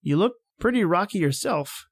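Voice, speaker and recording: A woman's voice: fairly low pitch, expressive, normal speed, a little hiss or hum, close to an everyday voice. A woman playing an impatient, rational professor in a radio horror play, with a theatrical, exclamatory manner. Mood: confident, condescending. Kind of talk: old-time radio horror acting.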